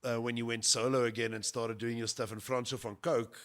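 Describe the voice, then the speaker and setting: A man's voice: mid-range voice, flat delivery, a little fast. A man hosting a casual podcast interview, joking and teasing his guest with puns. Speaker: podcast host.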